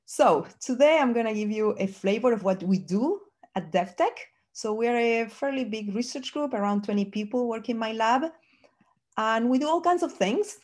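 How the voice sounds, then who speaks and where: A woman's voice: fairly high pitch, animated, normal speed, a little mellow. A woman in her fifties from Argentina, a native Spanish speaker with an Argentine accent, presenting research as a professor on a video call. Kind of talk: conference presentation. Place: home office, indoors. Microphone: computer on a video call.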